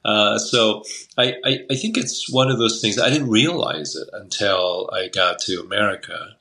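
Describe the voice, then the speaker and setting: Bright-voiced older man, rambling. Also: on the low side, normal speed. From Taiwan. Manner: rambling, with many restarts and fillers. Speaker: guest, Chinese medicine doctor. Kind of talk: casual interview talk. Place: home room by a window. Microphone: video call webcam.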